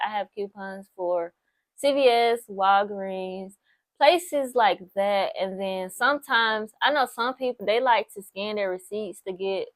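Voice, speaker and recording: A woman's voice: mid-range voice, dry recording. Young woman vlogger, nineteen, chatty and encouraging, dry close recording. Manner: chatty, repeats herself for emphasis, lots of "like" and "so, yes"; encouraging, speaks straight to the viewer. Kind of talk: casual advice talk to camera.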